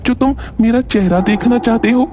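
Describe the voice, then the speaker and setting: A man's voice: very high-pitched, moderate pace, over music, voice pushed up. A man voicing a coy, guarded young woman in a cartoon story.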